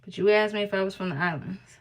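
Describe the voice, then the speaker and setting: A woman's voice: mid-range voice, fast talker, a little hiss or hum. A woman from Harlem, chatting casually and teasingly with viewers on a live stream, laughing often. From New York (Harlem).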